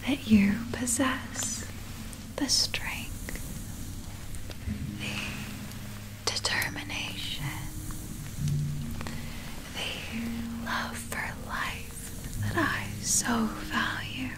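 A woman playing a tender, possessive female vampire in an ASMR roleplay, speaking in a breathy whisper with formal, archaic, lingering phrasing. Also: a little high, very slow, long pauses. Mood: yearning, adoring.

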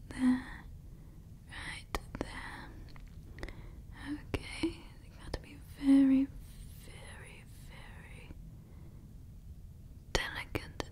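A woman voicing a doting female evil scientist in an ASMR roleplay, whispering softly close to the mic and fussily repeating her words. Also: high-pitched. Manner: soft whispering, repeats words fussily, calls listener darling. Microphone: close ASMR microphone.

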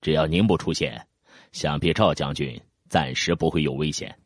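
Very deep, husky loyal retainer played by a man. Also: normal speed. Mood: earnest. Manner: deferential, earnest pleading. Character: loyal, anxious male retainer, deferential and earnest.

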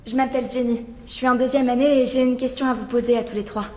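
An actress playing an eager, polite young college student putting a question to a panel in a dubbed TV drama. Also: female voice, a little high, steady tone, fast talker, close to an everyday voice. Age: young adult. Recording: echoey hall, clear background noise.

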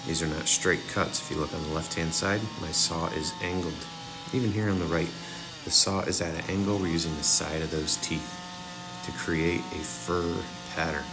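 A man's voice: deep voice, normal speed, music in the background. A young man casually explaining chainsaw carving cuts step by step, as an instructor. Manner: relaxed, talks viewers through each cut step by step. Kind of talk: casual tutorial voice-over.

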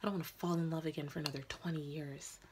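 A woman playing a sorrowful, immortal young woman in a dramatic monologue, confessing haltingly in a breathy, hushed voice. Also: low-pitched, steady tone, background noise, voice pushed down, close to an everyday voice. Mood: pained, pleading. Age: young adult. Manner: halting, intimate confession with long pauses.

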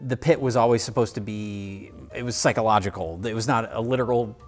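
A man's voice: lowish voice, fairly quick, soft background music. A man talking casually as a panelist on a film review show.

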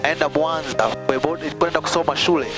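Young male pilot-vlogger, lively mixed-language storyteller, headset mic, music bed. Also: a little high, rapid-fire. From Tanzania. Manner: chatty, laughing, switches languages often. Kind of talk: casual storytelling mixing Swahili and English. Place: small aircraft cockpit in flight. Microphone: headset boom mic.

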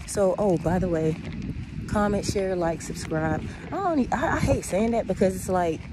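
A woman's voice: mid-range voice, lively intonation, normal speed. A talkative woman rambles to her followers while walking on the shore. Her phone mic picks up heavy wind and crashing surf. She talks in a loose, easygoing way and often turns aside to address the viewers. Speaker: vlogger. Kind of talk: casual walking vlog. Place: outdoors on a windy beach. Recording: loud wind and wave noise.

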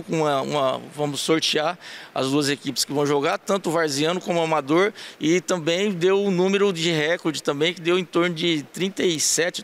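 A man in his fifties from Brazil, a city sports secretary answering a TV reporter's questions, pausing often with fillers and restarting words. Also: a little high, vocal fry, a bit bright. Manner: halting, many fillers and restarts. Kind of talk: TV news interview answers. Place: indoors in front of a printed backdrop. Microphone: handheld reporter mic held close.

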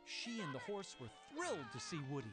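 Animated high-pitched male storybook narrator. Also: a little fast, loud music behind the voice. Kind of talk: scripted children's storybook narration.

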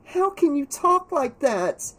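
A narrator voicing a worried, tender teenage girl in an audiobook, soft and pleading in manner. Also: medium pitch, big pitch swings, moderate pace, voice pushed up. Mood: upset, reproachful. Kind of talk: audiobook character dialogue.

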